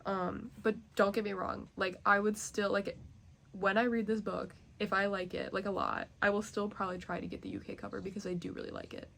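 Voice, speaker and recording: A young woman rambles through a casual book vlog in a stuffy, congested voice, stopping often to hedge and fill. Her mid-pitched voice drops into a gravelly fry. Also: flat delivery, a little fast, a bit bright. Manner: rambling, hesitant, many fillers. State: nasally congested. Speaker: book vlogger. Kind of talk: casual chat.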